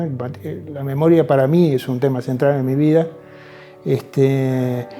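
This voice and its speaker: An Argentine man giving reflective interview testimony in a measured way, with some hesitations. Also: medium pitch, dark tone.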